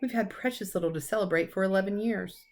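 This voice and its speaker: A woman voicing a gentle, calm, whimsical old male wizard in a storybook reading. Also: medium pitch, fast talker, lightly acted. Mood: gentle, mild.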